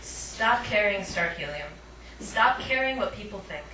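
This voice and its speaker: A woman's voice: medium pitch, lively intonation, a little slow, sharp tone. A woman reading her poetry live at a cafe, with informal, self-conscious asides. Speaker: poet, featured reader. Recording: echoey room, distant mic, clear noise.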